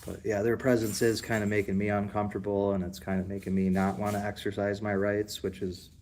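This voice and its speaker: A man with a deep, low voice rambles defiantly to his viewers during a livestream from a public building. His tone is dark and mellow, and faint ambience hums behind him. He speaks on a phone mic. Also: level tone, brisk, a little hiss or hum. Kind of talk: casual live commentary.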